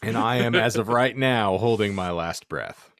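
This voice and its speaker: A man's voice: on the low side, moderate pace, fairly bright tone, dry recording, clean studio sound. A man co-hosting a casual comedy podcast, wordy, with theatrical mock exasperation. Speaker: podcast co-host and narrator.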